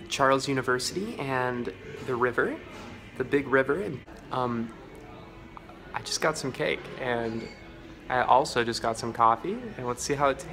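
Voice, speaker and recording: An American man playing a socially awkward young travel vlogger with a halting, nervous manner. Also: mid-range voice, bright, forceful tone.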